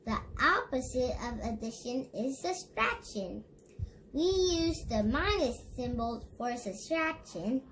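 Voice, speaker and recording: A child host reading an educational picture book aloud in a deliberate, instructive way, speaking directly to viewers. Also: sits high, a bit bright, slight background noise, rough recording.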